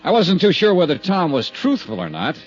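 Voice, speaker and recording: An actor playing a cool, persistent insurance investigator who narrates dryly in a 1950s radio detective drama. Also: male voice, on the high side, huge pitch swings, normal speed. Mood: wry, businesslike. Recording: dull 1950s radio recording, music.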